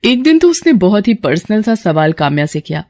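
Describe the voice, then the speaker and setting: A young woman tells a bedtime story in a steady, even narrating voice. Her pitch is ordinary for a woman, and the delivery stays measured and scripted. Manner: steady storytelling, firm and scolding when voicing the teacher. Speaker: narrator who also voices the teacher.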